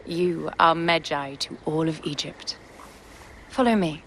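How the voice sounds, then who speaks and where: Imperious young queen of Egypt, regal game drama delivery, clear noise behind. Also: sits low, measured pace, a bit bright. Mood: commanding, calm. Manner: commanding, regal. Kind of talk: cinematic game voice acting.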